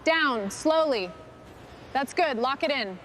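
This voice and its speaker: A woman as high-voiced female workshop boss. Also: expressive, slow, a bit bright, a little hiss or hum, heavily acted. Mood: careful, instructing. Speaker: restoration crew leader. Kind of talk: scripted workshop dialogue.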